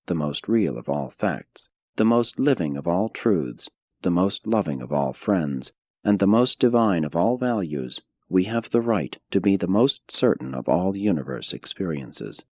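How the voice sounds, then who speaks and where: A man narrating an audiobook from a script in a measured, formal, solemn manner. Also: low voice, a little mellow, dry recording.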